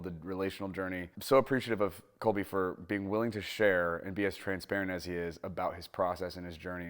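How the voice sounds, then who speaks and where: A man's voice: sits low, clear, resonant tone. A man hosting an interview series, giving introductions and commentary in a conversational presenting style. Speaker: interviewer, host.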